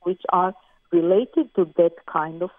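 A woman from Croatia with a Croatian accent, a musician talking thoughtfully and with pauses as an interview guest. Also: on the low side, no room sound. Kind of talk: interview over the phone. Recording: tinny phone line, dry.

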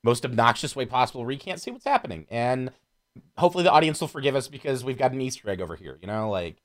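A man chatting casually as a podcast panelist, critiquing films in an animated, sarcastic way. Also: medium pitch, fast. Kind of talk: casual film criticism chat.

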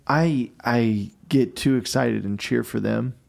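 Gravelly man with a booming voice. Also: slow pace, a little mellow. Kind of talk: casual conversation.

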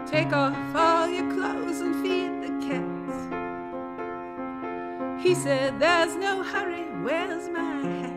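A woman's voice: very high voice, takes it slowly. A woman singer performing songs for a theatre piece. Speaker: singer-songwriter. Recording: loud piano accompaniment. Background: piano music.

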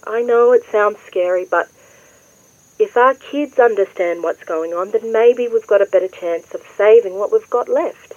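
An actress playing a patient, earnest adult Australian daughter in an audio drama, explaining things clearly. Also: female voice, medium pitch, moderate pace, a little mellow, no echo, dull audio, natural voice. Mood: gentle. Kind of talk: naturalistic audio drama dialogue.

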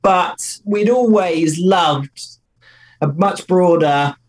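A man's voice: sits high, extremely slow, poor audio. A man, co-founder of a company, answering interview questions in an enthusiastic, loose way with many fillers.

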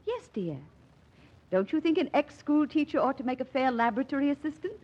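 Devoted young wife, expressive old radio voice. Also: female voice, high-pitched, a little fast. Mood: coaxing, encouraging. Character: devoted, encouraging young wife, former schoolteacher. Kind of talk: 1940s radio drama acting. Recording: muffled old radio recording.

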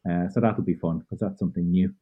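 A man's voice: deep voice, steady tone, fast talker, husky. A man from Ireland in his thirties, a podcast guest giving earnest, thoughtful answers with lots of fillers. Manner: earnest, thoughtful, loose phrasing with many fillers. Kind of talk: reflective interview answers. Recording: tinny phone-like line.

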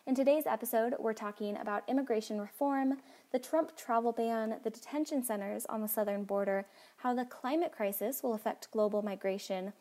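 A woman hosting a podcast, reading a guest's bio in a clear, measured way before asking interview questions. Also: fairly high pitch, mellow. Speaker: podcast host. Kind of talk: read introduction and interview questions.

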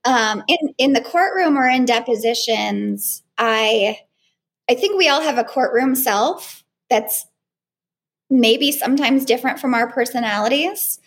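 A woman trial lawyer with a small, girlish voice, answering interview questions in a relaxed way with frequent ums and restarts. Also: on the high side, a little slow. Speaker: trial lawyer and cross-examination trainer.

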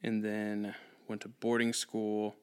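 Clear-voiced man telling casual anecdotes. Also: fairly low pitch, completely flat delivery, slow. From Texas, raised mostly in Eastern Europe. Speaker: podcast guest.

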